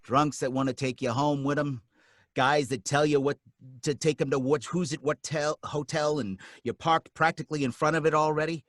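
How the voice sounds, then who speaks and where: A man's voice: fairly high pitch, steady tone, moderate pace, fairly bright tone, dry recording, studio quality, close to an everyday voice. A man playing a brash, world-weary working-class cab driver in a 1940s-style radio drama, with wry, slangy delivery. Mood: wry storytelling. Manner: streetwise, slangy, wry talk.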